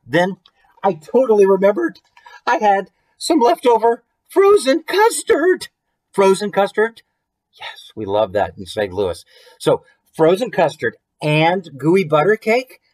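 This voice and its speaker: A man's voice: high-pitched, sing-song, a little slow, darkish tone. An American man in his thirties reading a children's book expressively, with chatty asides and questions to the listeners. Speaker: children's author reading own book to viewers. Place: small room at home, indoors.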